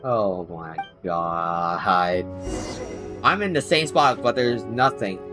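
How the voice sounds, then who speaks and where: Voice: sits low, slow, over music. A game streamer giving casual, rambling commentary while playing, often exclaiming in mild bewilderment. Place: indoors, live stream with game music behind the voice.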